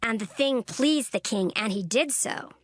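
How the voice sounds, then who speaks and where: A woman reading scripture as narrator in a steady, formal way. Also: on the high side, moderate pace, no echo, clean studio sound. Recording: narration over music bed. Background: music.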